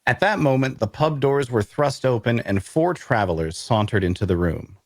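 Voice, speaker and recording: An actor playing an awkward, bookish loner adventurer who narrates an audio drama in a wry way. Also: male voice, mid-range voice, lively intonation, moderate pace, no room sound, clean studio sound. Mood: wry narrating. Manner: wry, hesitant in conversation. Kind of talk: first-person narration and dialogue in audio drama.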